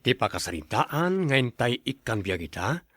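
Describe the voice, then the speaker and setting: A man's voice: mid-range voice, very animated, normal speed, fairly bright tone, slightly rough, dry recording. A man with an Ilocano accent giving scripted narration as the host of a radio drama.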